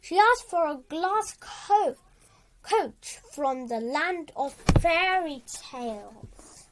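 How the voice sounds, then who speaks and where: Child storyteller, high animated voice, halting read-aloud delivery with stumbles and dramatic emphasis. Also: a little hiss or hum, poor audio. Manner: halting, stumbles and repeats words while reading.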